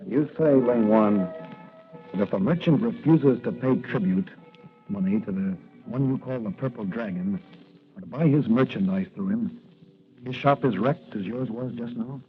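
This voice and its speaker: A man's voice: on the low side, normal speed, soft background music, close to an everyday voice. A man playing an earnest, resolute young American policeman in a 1940s radio drama. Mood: concerned. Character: earnest, upright young rookie police officer hero. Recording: tinny, noisy old radio recording.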